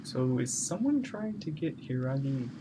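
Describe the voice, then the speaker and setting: A man's voice: medium pitch, sing-song, unhurried, noisy background. A man streaming, giving short offhand reactions to a game. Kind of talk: casual reaction commentary. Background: game music and dialogue.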